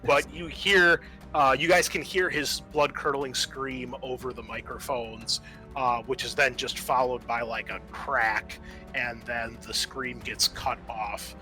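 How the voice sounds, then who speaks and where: Male game master for horror tabletop play: unhurried, bright, halting descriptive narration. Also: mid-range voice, fairly even intonation, soft background music.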